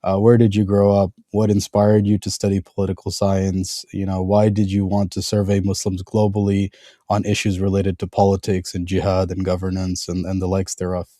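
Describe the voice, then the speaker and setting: A male podcast host introducing his guest in a conversational way, halting often with fillers and restarts. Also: sits low, monotone, warm tone, no room sound, studio quality.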